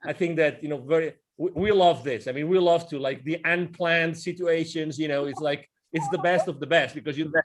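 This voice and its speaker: A man's voice: on the high side, a little fast, few pauses. Eager man hosting a video call. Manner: enthusiastic, talks with his hands, many restarts. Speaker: host. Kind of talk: casual interview. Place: indoors, virtual backdrop. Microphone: wired earphone mic on a video call.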